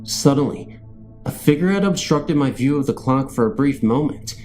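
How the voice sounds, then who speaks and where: A man's voice: mid-range voice, animated, normal speed, a little mellow, soft background music. A man reading scary stories as a narrator, in a scripted storytelling style.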